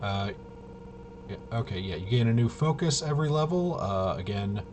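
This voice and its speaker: Male tabletop gaming host, lowish pitch, halting off-the-cuff explainer delivery, noticeably noisy room tone. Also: lively intonation. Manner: halting, rambling, thinking aloud. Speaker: host of a tabletop RPG channel. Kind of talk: casual unscripted rules walkthrough.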